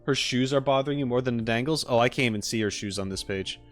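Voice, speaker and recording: A man's voice: mid-range voice, quick pace, faint music behind. A man chatting casually as a streamer, rambling through his opinions in a tentative, hedging way. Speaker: streamer reacting with live chat. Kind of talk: casual rambling livestream chat.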